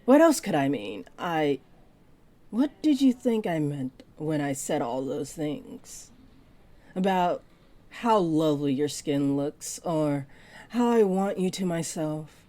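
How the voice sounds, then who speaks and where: Woman voicing a part-dragon librarian, possessive and flirty, lowish mellow voice, intimate teasing delivery, audio roleplay. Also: expressive, slow. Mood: tender, flirty. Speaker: voice actor. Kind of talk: roleplay audio drama monologue to listener.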